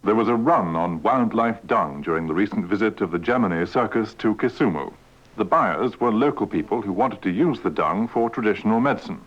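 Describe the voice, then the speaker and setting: A man reading a news item on the radio in a measured, formal manner. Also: fairly low pitch, expressive. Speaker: radio newsreader. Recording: dull, slightly noisy old radio recording.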